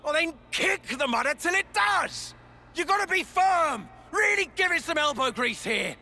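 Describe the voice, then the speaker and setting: An actor playing a brash, bossy male commander who shouts gruff, crude orders at his crew in a video game. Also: very high voice, lively intonation, normal speed, heavily acted. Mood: barking orders. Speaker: colony commander.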